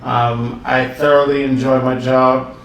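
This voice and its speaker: Podcast host guy chatting slow and easy, kinda far from the mic, echoey room w/ light hiss. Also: mid-range voice, level tone, gravelly. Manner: laid-back, drawn-out, playful. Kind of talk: casual chat. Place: home studio room.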